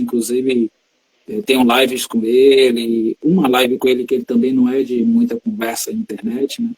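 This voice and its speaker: A man's voice: mid-range voice, dark tone, slightly rough, rough recording. A man from Salvador, a religious practitioner explaining his tradition patiently in an interview. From Salvador, Bahia, Brazil. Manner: measured, teacherly, explains terms patiently.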